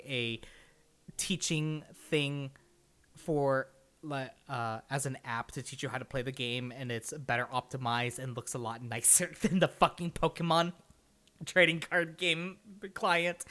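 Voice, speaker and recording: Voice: medium pitch, sharp tone. A livestream host chatting casually and rambling from thought to thought, with an easy habit of laughing and swearing.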